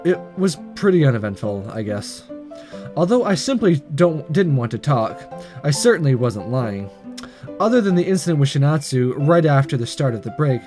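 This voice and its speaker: A man hosting a video, reading a visual novel aloud in an expressive narrating style. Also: medium pitch.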